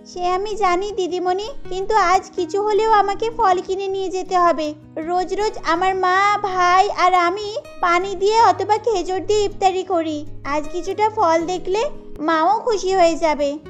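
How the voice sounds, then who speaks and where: A woman's voice: very high voice, steady tone, measured pace, faint music behind. A woman voicing a poor, kind young girl in a cartoon, speaking earnestly. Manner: earnest, pleading. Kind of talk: Bengali cartoon dubbing.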